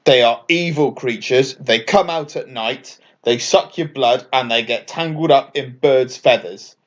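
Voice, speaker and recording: A man playing a big, bad-tempered, bossy seagull in a children's story, in a loud, sharp, booming voice. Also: a little slow, muffled recording, lightly acted. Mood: angry, commanding.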